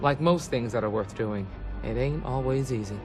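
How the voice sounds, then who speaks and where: Plainspoken frontier space ranger, music behind. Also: female voice, very deep voice, moderate pace. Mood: earnest, matter-of-fact. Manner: folksy, plainspoken, earnest. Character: seasoned, earnest female space frontier ranger recruiter. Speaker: recruiting officer. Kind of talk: video game character dialogue. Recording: game audio with loud music bed.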